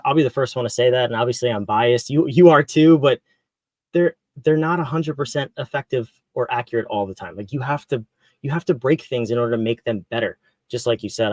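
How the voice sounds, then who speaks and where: A man of middle pitch hosts a business interview and asks his questions in an easy, curious tone. His voice is recorded dry and close, with no room echo. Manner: relaxed, curious questioning with frequent restarts. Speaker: podcast host. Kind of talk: conversational interview.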